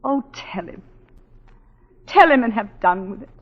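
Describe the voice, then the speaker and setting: An actress playing an anguished wife with a secret in a 1940s radio drama, halting and pleading. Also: female voice, fairly high pitch, expressive. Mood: desperate, pleading. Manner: halting, pleading, tense phrasing. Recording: dull 1940s radio broadcast.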